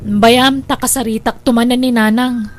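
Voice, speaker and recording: Babai nga agak-akem iti napinget a balasang nga anak, natinis. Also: female voice, fairly high pitch, fairly even intonation, normal speed, noisy background, lightly acted. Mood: insistent. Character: pushy, excitable married daughter wanting the inheritance. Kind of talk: Ilocano radio drama acting.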